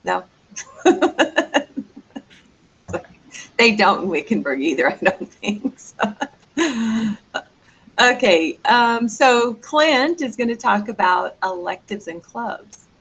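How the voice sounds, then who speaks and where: A woman's voice: medium pitch, lively intonation, slow pace, gravelly, long pauses, a bit bright, breathy edge. A woman, a school administrator, leading a presentation in a chatty, joking way and inviting questions. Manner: joking, chatty, leads the meeting.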